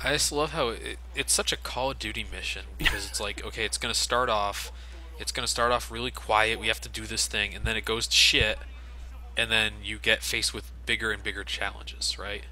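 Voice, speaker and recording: Low-voiced man doing casual game commentary. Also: steady tone, fairly quick, pushed and bright, poor audio. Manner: sarcastic, joking. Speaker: Let's Play commentator playing the game. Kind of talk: casual gameplay commentary with profanity. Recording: game gunfire behind the voice. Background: video game gunfire and ambience.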